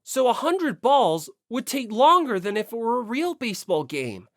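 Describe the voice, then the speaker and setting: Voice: medium pitch, big pitch swings, normal speed, no room sound, clean studio sound. An audiobook narrator reading a first-person story expressively.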